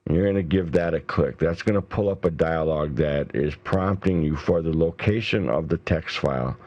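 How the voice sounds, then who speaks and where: A middle-aged man with a very low-pitched voice presents a casual software tutorial. He explains each step informally, and the sound is dry and close. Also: moderate pace, no echo, dull audio. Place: indoors, voice-over for a screen recording.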